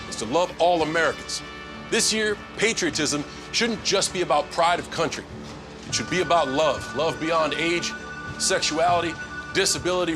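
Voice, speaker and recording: A man delivering earnest scripted inspirational narration for a public service announcement. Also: on the high side. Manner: earnest, measured rhetoric. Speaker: advert narrator. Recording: prominent music bed.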